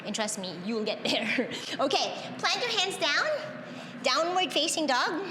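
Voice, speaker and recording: Bright high-voiced yoga instructor woman. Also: very animated, unhurried, reverberant, big-room sound, noisy background. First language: Tagalog. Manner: upbeat, cueing breaths, laughs and cheers often. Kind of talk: guided yoga class instruction.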